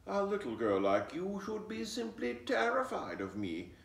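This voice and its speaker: A man puts on a sly gentleman fox from a children's storybook. He speaks slowly and formally, with suave vanity. The sound is roomy and away from the mic. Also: high voice, huge pitch swings, creaky, voice pushed up, heavily acted. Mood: disgusted, haughty. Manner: suave, haughty, formal diction. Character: vain, sly, genteel male fox. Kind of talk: children's storybook read-aloud. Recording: roomy echo, distant mic.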